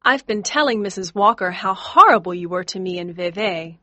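A lively young woman with a high, singing voice that leaps around, bright and forward in her teasing, as a male reader puts her on. Each line lilts up and down, and the dry recording keeps her close to the ear. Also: normal speed, dry recording, exaggerated voice.

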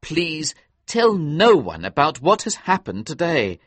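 Man playing a flustered young gentleman, high, exclaiming, pleading, very lively pitch, dry sound. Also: a little slow, husky, no echo, full character voice. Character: earnest young gentleman, anxious and easily flustered.

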